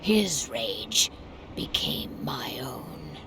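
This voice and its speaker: A woman playing a proud, solemn werewolf tribe leader in a video game cutscene, speaking gravely with breathy, half-whispered phrases. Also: a bass voice, sing-song, extremely slow, noisy background, heavily acted. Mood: solemn, remorseful.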